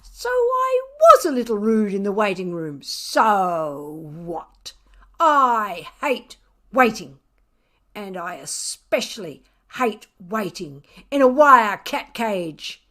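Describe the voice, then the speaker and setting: A woman voicing a grumpy, sardonic pet cat in an indignant, self-justifying storybook narration with drawn-out emphasis. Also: mid-range voice, sing-song, pushed and bright, heavily acted. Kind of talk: expressive children's storybook reading.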